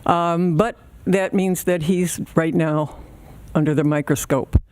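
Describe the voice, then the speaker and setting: An older woman, in her sixties or older, with a soft voice, speaking haltingly and informally at a public meeting. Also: fairly low pitch, steady tone. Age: 60s or older. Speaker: resident giving public comment.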